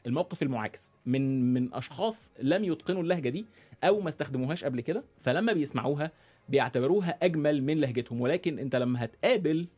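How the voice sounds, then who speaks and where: A man with an Egyptian accent, a guest who gives his views in a reflective, halting way, with many restarts and fillers. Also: a little high, expressive, darkish tone, faint music behind. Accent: Egyptian Arabic. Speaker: guest, founder of a dialect initiative. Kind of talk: reflective interview talk.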